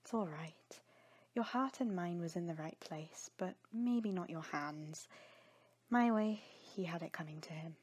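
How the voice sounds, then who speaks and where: A woman playing a gentle, earnest, forgiving peacemaker in a dramatic audio story, speaking softly. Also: on the low side, unhurried, breathy edge. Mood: consoling.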